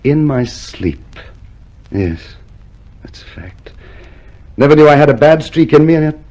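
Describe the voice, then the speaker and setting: Eager meek party man in jail, nervous stammer, kinda high voice, radio play. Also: sing-song, measured pace, darkish tone. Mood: nervous eagerness. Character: meek, eager, deluded loyal party man under arrest. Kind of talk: radio drama acting.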